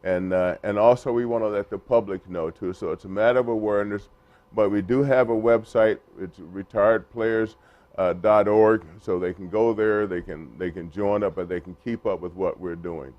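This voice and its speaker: Low-voiced man at a press conference. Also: fairly even intonation, no room sound. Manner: halting, many fillers and restarts. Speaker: press conference speaker for retired players group. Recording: muffled lo-fi recording.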